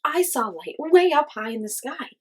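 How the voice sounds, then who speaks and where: Woman playing excitable, swooping coyote man. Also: sits high, moderate pace, pitched above the performer's own voice. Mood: urgent, pleading. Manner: exclamatory, muttering and sputtering. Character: excitable, grumbling male coyote man, eager and dramatic.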